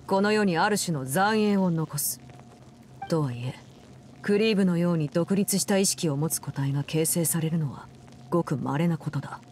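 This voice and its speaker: An actress playing a cold, commanding woman in an anime game, speaking in a measured, formal, detached way. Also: sits low, darkish tone. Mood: calm, reflective. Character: cold, composed, commanding female Fatui Harbinger in her prime. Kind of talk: Japanese anime game voice acting, solemn storytelling.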